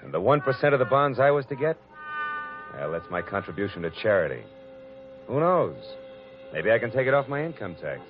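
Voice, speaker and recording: A man's voice: mid-range voice, sing-song, brisk, long pauses, over loud music, natural voice. An actor playing a cynical, wisecracking male private detective in a 1940s radio drama, narrating in a tough, dry manner. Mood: wry. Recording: dull old radio broadcast recording.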